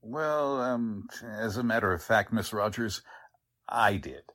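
An actor playing a genial, easygoing small-town doctor in 1940s radio drama style, musing hesitantly in a folksy way. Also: male voice, on the low side, takes it slowly, fairly bright tone.

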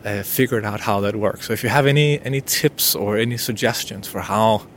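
Soft-spoken Danish man, hesitant and intimate. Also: lowish voice, fairly quick, slight background noise. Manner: hesitant, many restarts and fillers, intimate. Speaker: podcast host. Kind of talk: unscripted solo podcast monologue.

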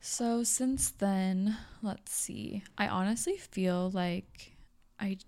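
A woman's voice: medium pitch, slow, a little mellow. A young woman co-hosting a podcast in casual chat, laughing often and trailing off. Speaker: podcast co-host.

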